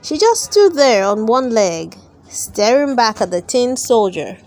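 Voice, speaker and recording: A woman narrating a children's storybook aloud, with careful, expressive storytelling. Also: a little high, a little slow. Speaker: storyteller, channel host.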